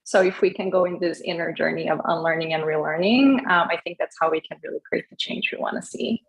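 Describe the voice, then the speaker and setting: A woman's voice: fairly low pitch, brisk, gravelly, low-quality recording. A woman from Brazil, speaking as a panelist, telling her career story in an earnest, reflective way with light laughs. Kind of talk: panel discussion, personal story.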